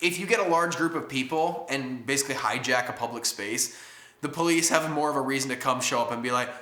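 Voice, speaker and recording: A man co-hosting a casual live chat, talkative and quick to laugh. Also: mid-range voice, bright, forceful tone, echoey room. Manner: chatty, laughs often, coos at his cat.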